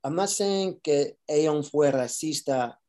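A man's voice: on the high side, slow pace, mellow. A man, an actor, answering interview questions casually, mixing Spanish and English with many fillers and restarts.

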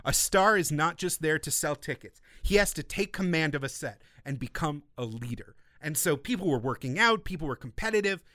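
A man's voice: fairly high pitch, expressive, a little fast, hardly any pauses, bright, forceful tone, dry recording, studio quality. A man co-hosting a film podcast in casual chat, telling stories animatedly and swearing freely. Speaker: podcast co-host.

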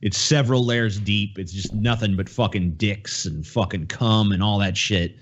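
A man's voice: lowish voice, normal speed, dull audio. A man in a casual podcast chat as a host, talking bluntly and swearing freely. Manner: profane, blunt.

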